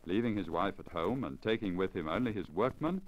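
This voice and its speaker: A man reading a literary story in a measured, formal, expressive manner, in the style of 1950 radio narration. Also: deep voice. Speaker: story reader. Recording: crackly, dull 1950 lacquer disc recording.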